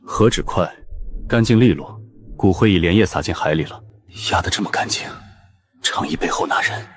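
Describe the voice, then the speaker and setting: A man's voice: low voice, normal speed, husky. An actor playing a cold, proud man of power with a curt, scornful manner in a web-novel drama. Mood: brooding.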